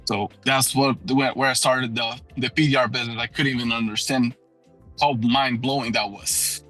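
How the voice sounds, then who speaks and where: Brazilian-accented man, bright earnest voice. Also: mid-range voice, steady tone, faint music behind. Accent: Brazilian Portuguese. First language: Portuguese. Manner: earnest, halting second-language English with restarts. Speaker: guest, door-to-door auto hail repair salesman. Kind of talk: casual podcast conversation.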